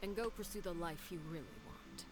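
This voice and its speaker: An actress voicing a cold, stern female leader who speaks to her foster children in a measured, grave and commanding way, in video game dialogue. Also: fairly low pitch, background noise, lightly acted.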